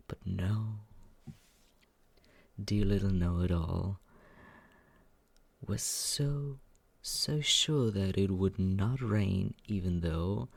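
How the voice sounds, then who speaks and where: Performer playing a young male roommate, condescending, sadistically teasing, ASMR roleplay, breathy half-whisper, creaky, unhurried. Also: low-pitched, darkish tone, no echo, full character voice. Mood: mocking. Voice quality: breathy, husky, often slipping into whisper. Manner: condescending, drawling, taunting. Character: smug, mocking, sadistic young male roommate, reluctant caretaker.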